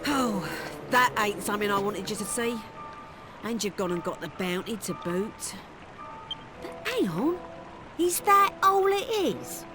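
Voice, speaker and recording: Cheeky young female treasure hunter, English accent, animated game delivery, music underneath. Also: medium pitch, unhurried. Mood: dismissive. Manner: slangy, brash, teasing. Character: cocky, teasing young female treasure-hunting thief. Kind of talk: video game cutscene acting.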